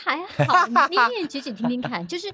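A woman's voice: high voice, sing-song, fast talker, pushed and bright. A woman with a Taiwanese accent hosting a podcast, asking questions in a casual conversational way. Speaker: podcast host, interviewer.

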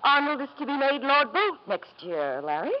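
An actress playing a wounded, restrained wife with wry bitterness in a radio drama. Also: female voice, high voice, big pitch swings, normal speed. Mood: brittle politeness. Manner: theatrical, emotionally restrained. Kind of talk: 1950s radio drama acting. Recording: muffled, faintly noisy 1950s radio recording.

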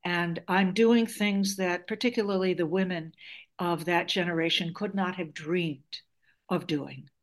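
A woman's voice: lowish voice, fairly even intonation, unhurried. Woman in her 70s, Greek first language, articulate and reflective interview guest. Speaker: guest author. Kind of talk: interview storytelling.

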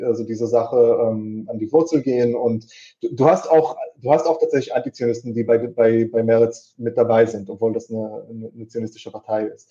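A man's voice: medium pitch, dark tone. Hesitant man on a dull line. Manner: hesitant, many fillers and restarts. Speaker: guest. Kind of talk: casual political discussion. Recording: dull lo-fi sound.

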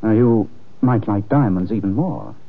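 An actor playing a suave, wry, unflappable gentleman sleuth with dry, polished delivery in an old-time radio detective drama. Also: on the low side, moderate pace. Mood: teasing. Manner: dry, teasing, polished interrogation. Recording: tinny, band-limited old radio recording.

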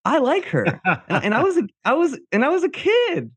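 A man's voice: very high voice, big pitch swings, fairly quick, dry recording. A man from New Jersey hosting a casual interview, informal and curious, rambling into his questions. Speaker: podcast host.

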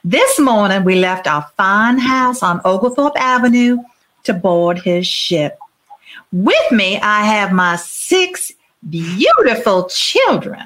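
Genteel plantation matriarch, put on by a woman: slow, swooping delivery, theatrical, amateur stage reading. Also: medium pitch, sing-song, a bit bright. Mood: grand. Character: grand, gracious wealthy Southern wife and mother. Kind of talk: amateur dramatic reading at a launch party.

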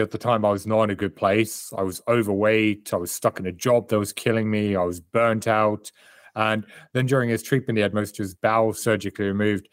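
Man, low voice, from South Africa, candid and reflective, dry sound. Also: no room sound. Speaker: guest, performance coach and author. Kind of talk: remote interview answers.

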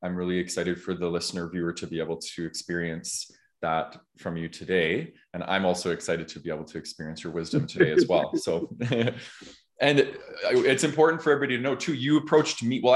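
A man in his thirties hosting a podcast, talking in a reflective, conversational way with an earnest, measured manner. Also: sits low, fairly even intonation. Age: 30s. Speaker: podcast host.

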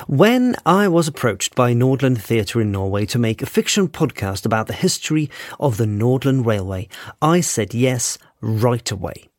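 A man reading a scripted podcast promotion as its creator, earnest and measured in his phrasing. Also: medium pitch, darkish tone, no room sound, clean studio sound. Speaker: podcast creator presenting a sponsor message.

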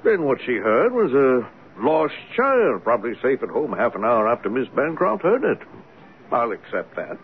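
A male actor plays a skeptical country doctor from a radio drama, formal and gently mocking. His delivery is very animated in pitch, dry and dismissive. The sound is narrow and tinny, with faint noise. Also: moderate pace, natural voice. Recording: tinny, noisy old radio broadcast.

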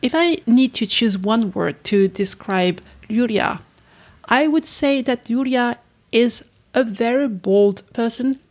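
A woman's voice: fairly high pitch, takes it slowly, a little mellow. A woman hosting an interview, reading a scripted introduction of her guest and then asking questions. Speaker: interview host.

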